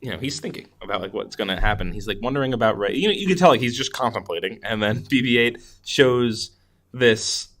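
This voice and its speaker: A man's voice: on the low side, fairly quick, a bit bright. A man co-hosting a podcast, chatting casually about films and often chuckling as he recounts scenes. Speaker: podcast co-host. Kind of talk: casual film chat.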